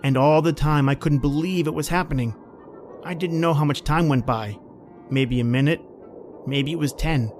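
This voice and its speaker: A man's voice: a little high, moderate pace, darkish tone, faint music behind, lightly acted. A man playing a haunted, panicky man stranded in polar cold, telling his ordeal in an old-time radio horror style. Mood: tense, fearful. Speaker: podcast host and actor.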